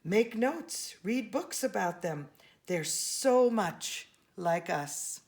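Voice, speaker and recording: A woman reading a children's picture book aloud, expressively and with deliberate emphasis on key words. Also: medium pitch, lively intonation, darkish tone. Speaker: storybook reader.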